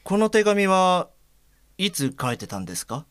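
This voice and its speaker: A man's voice: high voice, big pitch swings, moderate pace, a bit bright, clear, resonant tone, no echo, clean studio sound. An actor playing a thoughtful, perceptive blind man in an audio drama, talking in a reflective, unforced way. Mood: polite, concerned. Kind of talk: Japanese audio drama acting.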